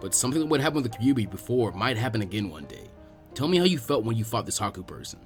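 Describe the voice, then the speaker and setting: Older male ninja master played by a male reader: grave, measured, frank storybook mentor, light music bed. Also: lowish voice, sing-song, a little mellow. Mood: serious, cautioning. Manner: measured, serious, explanatory. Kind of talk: acted storybook dialogue.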